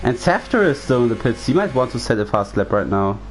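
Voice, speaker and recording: A man's voice: mid-range voice, sing-song, a little fast, a little hiss or hum. A man whose first language is German, leading a sim racing commentary in English in a chatty way and asking his co-host questions. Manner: chatty, steers the talk with questions. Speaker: lead commentator. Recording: faint music in the background.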